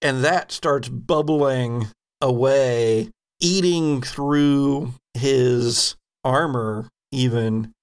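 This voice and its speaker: A man narrating a tabletop game as game master, with a halting, descriptive storytelling manner. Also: medium pitch, smooth.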